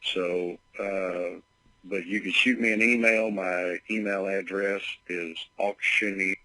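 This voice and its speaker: A man leaving a voicemail as a caller, speaking haltingly and repeating words as he goes, heard as a played-back message. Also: deep voice, fairly even intonation, pushed and bright, no echo, low-quality recording.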